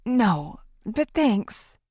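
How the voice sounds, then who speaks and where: A woman's voice: on the high side, sing-song, drawn-out words, mellow, no room sound, studio quality, pitched above the performer's own voice, exaggerated voice. A woman voicing an anxious, caring female podcaster heroine, earnest and tense, in an audiobook. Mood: urgent.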